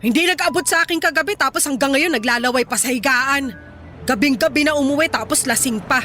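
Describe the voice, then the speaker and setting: Shrill nagging Filipino mother. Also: fast, sharp tone, soft background music. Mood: exasperated complaining. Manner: scolding, complaining. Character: nagging, blunt working-class mother of a grown daughter. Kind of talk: Filipino radio drama acting.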